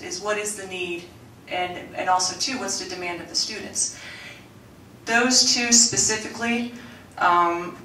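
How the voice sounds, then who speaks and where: A woman with a loud coach's voice, reining it in while she presents to a school board, earnestly and with many restarts. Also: mid-range voice, slight background noise. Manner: loud coach's voice, softened for the mic; earnest, with frequent restarts and fillers. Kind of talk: formal presentation at a board meeting. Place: school board meeting room. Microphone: room microphone. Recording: echoey room, mic at a distance.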